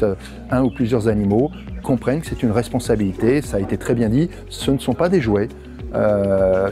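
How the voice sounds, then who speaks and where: Formal guy giving a press statement, average pitch, bit of music in the back. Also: warm tone.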